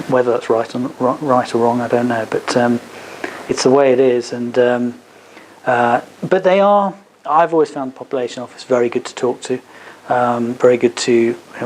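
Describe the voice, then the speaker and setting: Male, medium pitch, legal seminar speaker, explanatory, hesitant, hedged phrasing, slight background noise. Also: a little mellow, low-quality recording. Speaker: advocate giving a legal seminar. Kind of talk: seminar talk answering audience questions. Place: seminar at a business hub.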